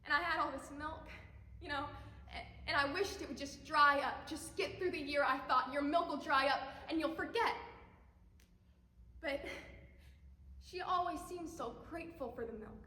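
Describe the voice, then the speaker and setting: A woman's voice: high-pitched, bright, forceful tone. Woman acting a raw grieving mother, echoey hall. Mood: tender sorrow. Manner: halting, impassioned. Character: grieving young mother, raw, intense, bitterly tender. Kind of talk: theatrical dramatic monologue. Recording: echoey hall, long reverb.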